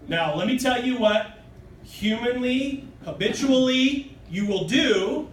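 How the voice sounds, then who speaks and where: Man in his thirties, emphatic sales presenter, fairly high voice, roomy, distant phone recording. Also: expressive, slow, slight background noise. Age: 30s. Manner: emphatic, keeps asking "okay?" and "true?", gestures broadly while standing. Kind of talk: motivational sales pitch. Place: hotel meeting room, indoors. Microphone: far phone camera in the audience.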